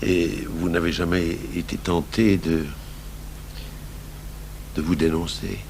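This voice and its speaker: An actor playing a calm, patient, fatherly police detective in a French-language radio drama, speaking with measured courtesy. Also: sits low. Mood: gentle, grave. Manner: measured, courteous questioning and recounting. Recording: humming, noisy old radio tape.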